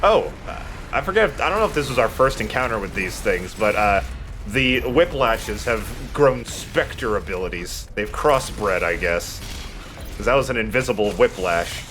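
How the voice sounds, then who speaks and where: A man's voice: on the low side, animated, moderate pace, fairly bright tone, a little hiss or hum. A man giving casual, joking and profane commentary while playing a video game. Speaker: let's play host playing the game. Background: game music behind the voice.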